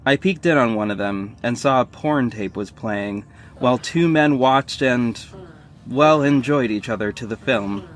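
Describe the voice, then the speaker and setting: A man's voice: mid-range voice, unhurried, lightly acted. A man playing a wry young superhero who narrates his own mission in scripted first person. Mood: measured, descriptive. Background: music bed.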